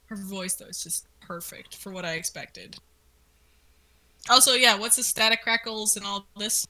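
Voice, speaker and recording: A woman's voice: mid-range voice, steady tone, a little slow, pauses often, pushed and bright. A woman co-hosting a casual commentary, informal and enthusiastic as she reacts and asks questions. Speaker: co-host asking questions about the series.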